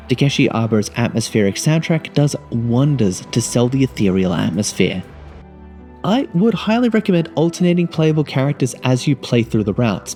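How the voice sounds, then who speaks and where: Man narrating with lively, swooping pitch. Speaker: video game reviewer. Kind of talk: scripted review narration.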